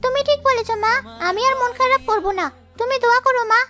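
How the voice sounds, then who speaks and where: A kind little village girl from a cartoon, speaking very high and brightly. She sounds earnest and polite, with light music behind her. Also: fast. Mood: obedient. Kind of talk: cartoon dialogue.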